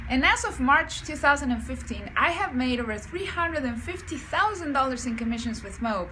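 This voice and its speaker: Bright, very high-pitched woman from Chile. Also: animated, normal speed, slight background noise, poor audio. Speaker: client giving a testimonial. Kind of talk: sales testimonial.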